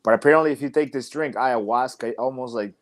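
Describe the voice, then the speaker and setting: A man's voice: medium pitch, quick pace. Young man rambling with many fillers. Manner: rambling and reflective, many "like" and "you know" fillers. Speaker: professional wrestler, podcast guest. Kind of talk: casual interview chat.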